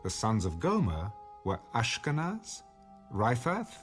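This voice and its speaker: A man reading scripture as a scripted audio Bible narration, in a measured, expressive storytelling delivery. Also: medium pitch, faint music behind.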